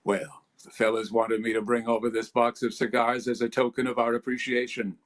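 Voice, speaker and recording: A man's voice: medium pitch, completely flat delivery, brisk, natural voice. An American man playing a jovial, chummy government tax man in 1940s radio comedy style. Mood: amused. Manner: hearty, chummy.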